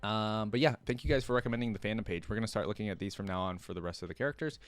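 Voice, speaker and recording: A man's voice: lowish voice, very fast, soft background music. A man hosting a reaction video in a casual, curious way, thinking aloud as he reads and comments.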